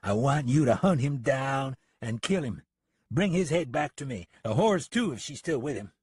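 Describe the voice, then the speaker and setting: A man's voice: mid-range voice, expressive, moderate pace, a little mellow, slightly rough, no echo, studio quality, pitched above the performer's own voice. A man voicing a crabby, defensive western deputy with a sore head in an audiobook. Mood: cold, resentful. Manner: cross, growling, defensive. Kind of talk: western audiobook character acting.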